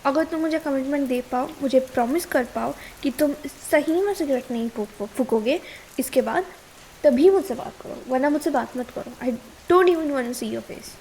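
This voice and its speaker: A woman's voice: high-pitched, moderate pace, darkish tone, a little hiss or hum, rough recording. A young woman with an Indian accent playing a worried, scolding but caring girlfriend in an intimate ASMR roleplay, chiding and pleading as she mixes two languages. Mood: angry, demanding. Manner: pleading and chiding, switching between Hindi and English.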